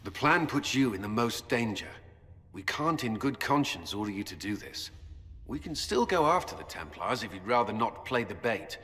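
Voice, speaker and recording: An actor playing a stern, cautious military commander in a fantasy video game, firm and blunt. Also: male voice, on the low side, very animated, moderate pace, fairly bright tone. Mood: cautious, grave. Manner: grave, firm. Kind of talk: dramatic video game dialogue. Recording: reverberant stone-hall echo.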